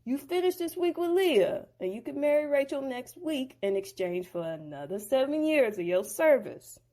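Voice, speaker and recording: A woman voicing a scheming older uncle who talks smoothly in slang and hums over his deals, in a storybook audio drama. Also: high-pitched, expressive, normal speed, mellow, pitched above the performer's own voice. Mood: placating. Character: scheming older male uncle, sly dealmaker.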